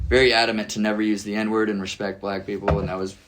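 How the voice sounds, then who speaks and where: Laid-back young man, low voice. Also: level tone, quick pace, noisy background. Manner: laid-back, rambling, says "like" and "dude" often. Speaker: podcast guest. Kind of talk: casual conversation.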